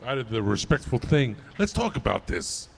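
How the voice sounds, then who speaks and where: A man with a deep, manly voice co-hosting a live radio show, joking and crooning playfully at his co-hosts. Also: big pitch swings, normal speed, creaky, husky.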